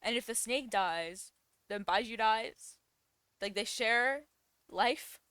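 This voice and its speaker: Casting: female gaming creator, slightly high bright voice, unhurried casual slangy delivery, close and dry. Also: clean tone, no room sound. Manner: giggly, playful, slangy. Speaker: gaming content creator reacting to a story quest. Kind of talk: casual playthrough commentary.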